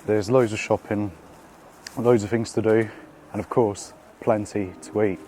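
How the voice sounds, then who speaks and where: Croaky-voiced man on a food vlog. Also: fairly low pitch, steady tone, unhurried, warm tone. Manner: chatty, upbeat, uses slang, lots of fillers. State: croaky voice from being ill. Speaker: food vlogger. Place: out and about in city streets and a small eatery.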